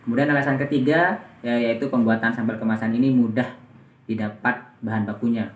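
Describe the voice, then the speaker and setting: A man's voice: mid-range voice, fairly even intonation, brisk, a little hiss or hum. A young man from Indonesia, a student presenting a business plan, talking hesitantly with many fillers. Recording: echoey room, mic at a distance.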